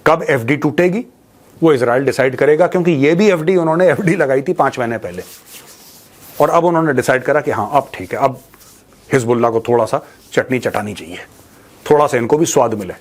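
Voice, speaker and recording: A man's voice: medium pitch, expressive, fairly quick. An Indian man hosting informal news commentary, speaking in a blunt, colloquial, mocking way and switching into Indian-accented English. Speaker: commentator and show host.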